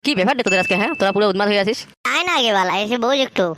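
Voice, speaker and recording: Rowdy, foul-mouthed Bengali cartoon pal as acted by one performer: very fast slangy teasing over faint noise. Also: mid-range voice, natural voice. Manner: teasing, slangy, swearing banter. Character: foul-mouthed rowdy young Bengali friends planning a party. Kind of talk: comic cartoon dubbing.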